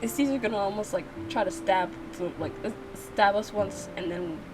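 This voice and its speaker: A woman's voice: medium pitch, normal speed. A woman hosting a game playthrough, narrating it and adding playful, slangy, casual reactions. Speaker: gaming channel host. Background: background music.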